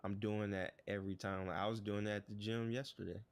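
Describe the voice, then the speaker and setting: A man co-hosting a podcast in relaxed, rambling casual chat, often saying "bruh" and "like". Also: on the low side, fairly quick, dry recording. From United States. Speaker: podcast co-host.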